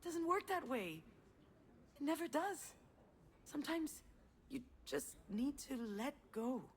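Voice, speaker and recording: An actress playing a guarded, self-doubting adult woman with a weary, sardonic, measured way of talking in a naturalistic video game drama. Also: high voice, big pitch swings, a little hiss or hum, exaggerated voice. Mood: weary, resigned.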